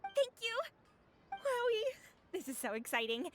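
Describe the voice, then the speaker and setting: A woman playing an eager, excitable, friendly young woman in an anime game. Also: extremely high pitch, a little slow, faint music behind, exaggerated voice. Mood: excited.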